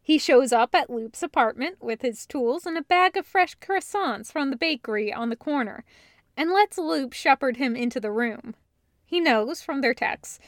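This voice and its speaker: A woman's voice: high voice, a bit bright, no room sound, clean studio sound. A woman narrating a story as a reader of fan fiction audiobooks.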